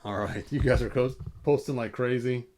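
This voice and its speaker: A man's voice: mid-range voice, moderate pace, noisy background. A man hosting a casual livestream storybook read-aloud, informal, stumbling over words and breaking off for asides. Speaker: host reading a storybook aloud to online viewers.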